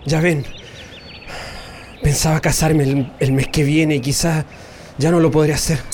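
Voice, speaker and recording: Man playing an earnest captive worker, highish voice. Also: level tone, measured pace, a little mellow, a little hiss or hum, natural voice. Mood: wistful, earnest. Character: wistful captive worker, engaged, consoling his comrades. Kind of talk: radio drama acting.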